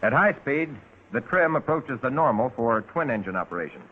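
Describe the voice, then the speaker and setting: An American man narrating a 1940s instructional film in a measured, precise, instructive way, as a flight instructor would. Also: medium pitch, very animated, husky. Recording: tinny narrow-band 1940s film soundtrack.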